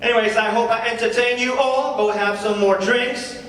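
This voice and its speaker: A man's voice: very high-pitched, steady tone, normal speed, fairly bright tone. A man acting as a cocky, defensive stand-up comedian with awkward, needling patter in a live script reading. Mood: cocky, teasing. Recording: distant echoey hall, faint noise.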